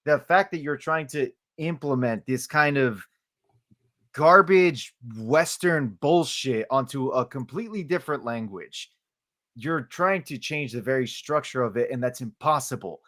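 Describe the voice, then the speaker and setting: Colombian guy, spanish is his first language, gets fired up and loud, trips over words when heated. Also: mid-range voice, expressive, unhurried. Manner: passionate, gets loud on key points, stumbles when heated. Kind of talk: heated podcast discussion.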